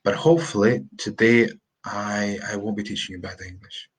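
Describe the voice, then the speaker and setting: A Scottish man in his thirties giving a live-streamed pronunciation lesson, chatty and rambling, repeating words and sounding them out slowly. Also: fairly low pitch, steady tone, husky. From Scotland. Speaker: English teacher. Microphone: phone or webcam on a live stream.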